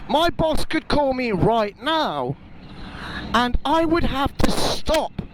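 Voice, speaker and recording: A man's voice: very high-pitched, noisy background. A man giving his opinion in a casual, emphatic, conversational vlog style, as a motorcycle vlogger.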